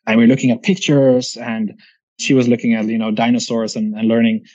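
A man's voice: medium pitch, little pitch movement, a little fast, darkish tone. Swedish-accented man, dull lo-fi audio. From Sweden. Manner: reflective, chatty, frequent restarts and "right?" tags. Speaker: guest. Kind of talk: casual interview conversation.

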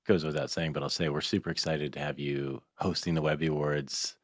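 A man's voice: extremely low pitch, little pitch movement, a little fast, dry recording. A man hosting a casual podcast interview in a studio, asking informal, rambling questions.

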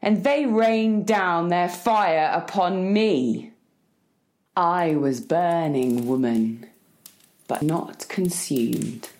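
A fierce, reborn wild woman of myth, played by a woman, speaks in a low register. The delivery is very slow, solemn and incantatory, as in a poetic audio drama. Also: a little mellow, slight background noise, exaggerated voice.

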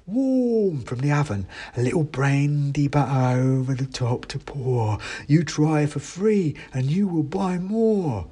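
A man's voice: a little high, animated, takes it slowly, few pauses, warm tone, husky, voice pushed up, exaggerated voice. A man voicing a cheery male mouse market trader, calling out a coaxing sing-song patter.

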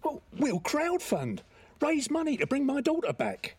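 An actor in an audio drama playing a distraught, stubborn elderly male thief brought back to life, halting and pleading. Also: very high voice, huge pitch swings, exaggerated voice. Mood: determined. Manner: halting, disbelieving, pleading, colloquial.

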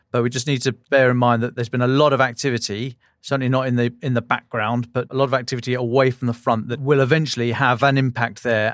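A man with a medium-pitched voice hosts a defence podcast in a very dry recording. He sets out semi-formal military analysis point by point. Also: no room sound. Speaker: podcast host and defence journalist.

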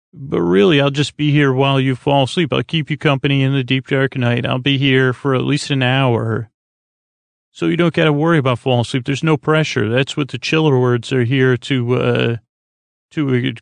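Creaky-voiced man rambling gently through a bedtime monologue. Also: medium pitch, dry recording. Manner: meandering, halting, full of tangents and self-interruptions. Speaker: podcast host. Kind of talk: rambling bedtime monologue to help listeners fall asleep.

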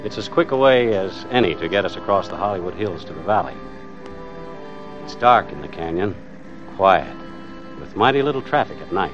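A man playing a steady, folksy husband in an old radio suspense drama, understated and plainspoken. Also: low-pitched, normal speed, pauses often, over music. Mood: tense recounting. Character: steady, folksy husband and father narrating his ordeal. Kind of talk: 1940s radio suspense narration and acting. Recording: muffled old radio recording.

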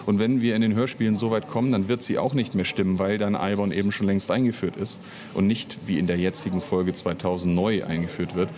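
A man giving unscripted interview answers in a rambling, halting way as a writer and director. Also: lowish voice, flat delivery, a little mellow, a little hiss or hum. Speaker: interview guest, audio drama author and director.

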